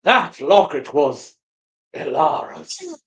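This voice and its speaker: A woman performs a brooding companion in a haunted romance. The voice sits very low and moves with lively, urgent emphasis, in the manner of an amateur dramatic read. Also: slow pace, full character voice. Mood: ominous.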